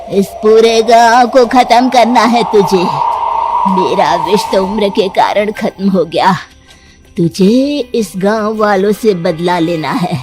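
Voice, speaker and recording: A woman acts out an old cartoon serpent queen, a commanding and menacing mother. She speaks slowly and deliberately at a medium pitch. Music plays underneath. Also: natural voice. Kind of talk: Hindi cartoon story acting.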